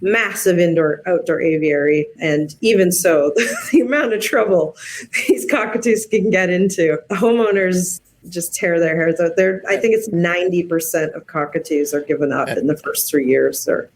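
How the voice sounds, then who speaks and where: A woman speaking as a researcher on a panel, explaining things in an informal, animated and emphatic way. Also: fairly low pitch, normal speed. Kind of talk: casual explanatory chat.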